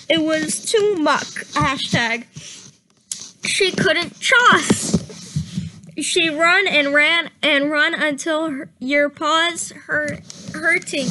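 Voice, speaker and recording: A woman's voice: high-pitched, bright, forceful tone, a little hiss or hum, poor audio. A young woman giving a comedic dramatic reading of badly written fan fiction, stumbling over misspellings and breaking into laughter. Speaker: reader.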